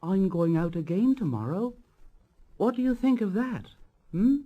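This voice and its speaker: A man voicing a small, eager male steam engine with a bright, sing-song chugging delivery. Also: high voice, animated, a little slow, narrow, tinny audio, pitched above the performer's own voice. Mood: proud.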